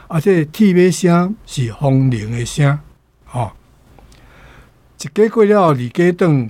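A man's voice: on the high side, mellow, dry recording. A man with a Taiwanese accent teaching vocabulary conversationally, repeating words as he explains and sometimes reciting folk verse. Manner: explanatory, repeats words, frequent filler particles.

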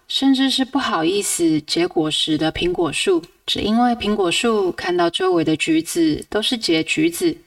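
A woman's voice: medium pitch, creaky, no room sound, far from the mic. A woman, narrating a scripted book summary as a podcast host. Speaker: podcast host reviewing books.

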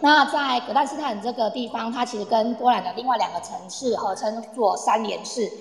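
A woman's voice: a little high, brisk, vocal fry. A woman playing a cheerful, eager tour guide in an amateur radio play, chatting to her group as she explains. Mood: cheerful, explanatory. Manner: chatty, addresses group, uses soft sentence-final particles. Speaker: tour guide in an audio drama. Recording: echoey, long reverb, online audio-room recording.

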